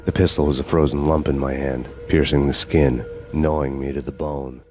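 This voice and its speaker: An actor voicing a weary hardboiled cop who narrates in a grim, slow noir monologue over game music. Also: male voice, very deep voice, little pitch movement, darkish tone.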